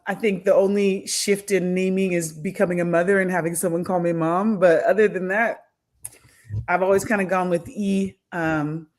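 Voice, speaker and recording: A woman in her thirties answers interview questions at an unhurried, reflective pace. She hesitates, restarts and circles back as she works through her thoughts. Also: mid-range voice. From United States. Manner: thoughtful, many fillers and restarts. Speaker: podcast guest, academic.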